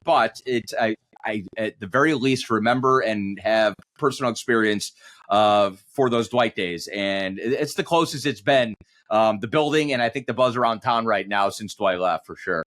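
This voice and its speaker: A man's voice: lowish voice, moderate pace, a bit bright. A man who works as a sports radio announcer, talking reflectively in a conversational interview and often circling back over his phrases.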